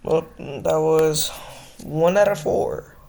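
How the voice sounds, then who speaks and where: A man's voice: a little high, slow pace, creaky, noisy background. A man hosting a reaction video, commenting in a casual, offhand and slangy way.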